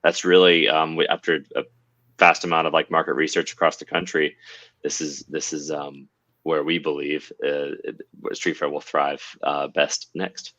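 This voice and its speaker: A man with a deep voice talks casually as an interview guest. His phrasing is halting and pieced together, and the audio is dry and a bit dull. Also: little pitch movement, fairly bright tone, no echo. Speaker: podcast guest, company founder.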